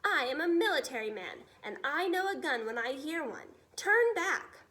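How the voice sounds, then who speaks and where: A woman's voice: high-pitched, very animated, normal speed, pushed and bright, heavily acted. A woman voicing a pompous, nervous male army commander who gives clipped orders in a storybook. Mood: blustering.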